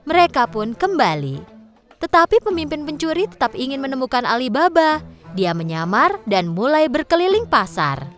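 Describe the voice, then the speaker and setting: A woman's voice: high voice, big pitch swings, normal speed. A woman narrating a scripted storybook tale for children. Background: background music.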